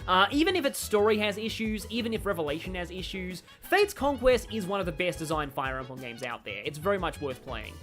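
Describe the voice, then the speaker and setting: A woman's voice: lowish voice, very animated, fairly quick, hardly any pauses, fairly bright tone, soft background music. A woman live streaming a game, thinking aloud in a rambling, opinionated way as she chats with viewers. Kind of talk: casual gaming commentary, chatting with viewers.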